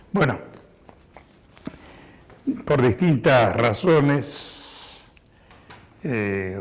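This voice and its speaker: A hoarse man in his sixties, born in Spain, giving a war veteran's testimony to an audience, explaining things carefully with many hesitations. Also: mid-range voice.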